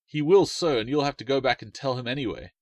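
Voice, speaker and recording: A man's voice: mid-range voice, fast, darkish tone, dry recording, pitched above the performer's own voice, close to an everyday voice. A man voicing a mischievous little boy who teases and defies his sister. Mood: taunting.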